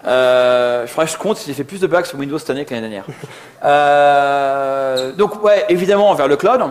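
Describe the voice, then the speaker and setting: A man's voice: medium pitch, moderate pace. A man giving an informal tech talk, colloquial and joking, poking fun at himself as he presents. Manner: joking, colloquial, self-deprecating. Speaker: conference speaker, software architect. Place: live talk before an audience.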